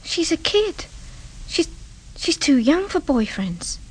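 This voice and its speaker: High-voiced, tearful mother. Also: very animated, slow pace, heavily acted, low-quality recording. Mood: anxious, defensive. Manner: hesitant, emotional, breathless pleading. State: distressed, tearful. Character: anxious, tearful middle-class mother, fretful and protective. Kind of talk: radio crime drama acting.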